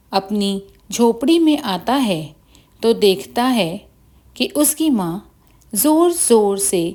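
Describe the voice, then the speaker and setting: A woman's voice: mid-range voice, darkish tone. A woman narrating a scripted children's bedtime story as the storyteller.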